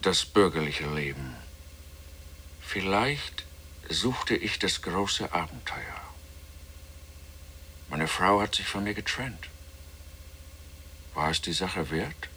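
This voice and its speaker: An actor playing a weary, introspective male astronomer in a radio play, speaking in a halting, musing manner. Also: deep voice, animated, pushed and bright, slight background noise, exaggerated voice. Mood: wistful, brooding. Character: weary introspective astronomer, captive on moon mission.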